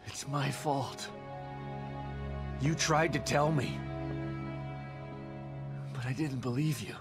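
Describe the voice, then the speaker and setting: An actor playing a weary, guilt-ridden middle-aged father in a video game drama, brooding and halting. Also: mid-range voice, music in the background. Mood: anguished, guilty.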